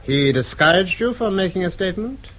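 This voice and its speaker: An actor playing a coldly formal Austrian official in a radio drama, speaking deliberately as if interrogating. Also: male voice, high voice, lively intonation, a bit bright, rough recording. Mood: coldly probing.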